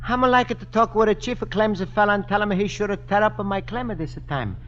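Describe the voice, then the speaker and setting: A high, earnest Italian immigrant man, naive and anxious, pleading in broken English with an Italian accent. It is 1950s radio sitcom acting, heard through a dull, noisy old recording. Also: fairly quick, fairly bright tone. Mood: polite, hesitant.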